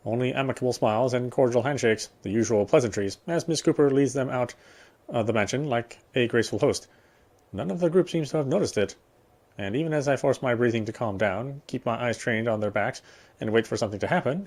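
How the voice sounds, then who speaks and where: A man reading scripted first-person narration from a horror visual novel in a measured, literary manner. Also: medium pitch. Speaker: player reading the narration aloud.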